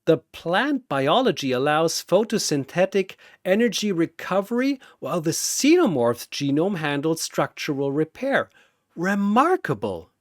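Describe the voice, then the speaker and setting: A man's voice: on the high side, big pitch swings, a little slow, no room sound, studio quality, voice pushed up. A man playing a coldly fascinated mad scientist in a story, precise, smug and analytical.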